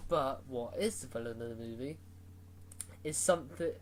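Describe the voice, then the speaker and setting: A man's voice: medium pitch, moderate pace, a little hiss or hum. A man giving a casual, rambling movie review, full of fillers and restarts.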